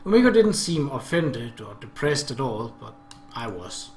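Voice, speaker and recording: A man's voice: mid-range voice, very animated, measured pace, a little mellow. A man hosting a let's play, reading a visual novel aloud and adding his own commentary. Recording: roomy echo, faint background noise.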